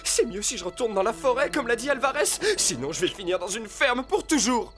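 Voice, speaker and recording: A performer voicing an anxious, pleading cartoon fox disguised as a pet dog, earnest, with loud music behind. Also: mid-range voice, animated, a little fast, close to an everyday voice. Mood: dejected. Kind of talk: French cartoon dubbing.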